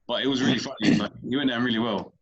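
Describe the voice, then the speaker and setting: A man chats casually as a comedian guest on a podcast, rambling through his stories and stumbling over repeated words. His voice sits at an ordinary pitch. The recording sounds a little dull and muffled. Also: steady tone, very fast, husky.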